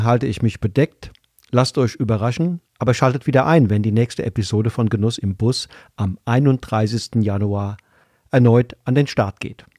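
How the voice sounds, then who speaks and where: A man's voice: medium pitch, mellow, no room sound, clean studio sound. A man hosting a wine podcast, reading a friendly outro with recommendations and links. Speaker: podcast host.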